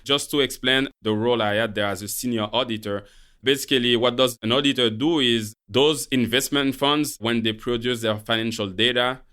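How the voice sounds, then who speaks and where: Haitian French-accented man, bright and clear. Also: medium pitch, steady tone, normal speed. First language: Haitian Creole. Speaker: guest, portfolio manager. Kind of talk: podcast interview.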